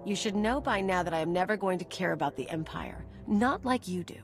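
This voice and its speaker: A woman's voice: fairly low pitch, animated, fairly quick, slight background noise. An actress playing a cynical, tough, self-serving mercenary woman in a video game, with a blunt, wry, streetwise delivery. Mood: defiant.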